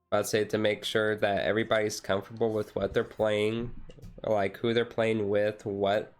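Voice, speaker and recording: A man chatting casually on a relaxed stream, rambling and hesitant, often circling back to repeat his phrases. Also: on the low side. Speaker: vtuber streamer. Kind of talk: casual, chilled-out stream chat.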